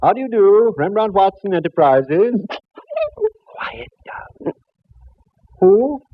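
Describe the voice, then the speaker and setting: An actor playing a fussy, genteel middle-aged art dealer in a 1950s radio drama, speaking in a refined, mannered way. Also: male voice, high voice, lively intonation, slow pace, dry recording, full character voice. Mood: chatty. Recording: dull old radio broadcast.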